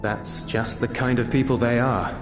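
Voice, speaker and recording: A man's voice: medium pitch, fairly even intonation, normal speed, lightly acted. An actor playing a reserved, kindly soldierly man in a video game cutscene, speaking in a hesitant, earnest, conversational way. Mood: reflective. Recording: roomy echo with music underneath.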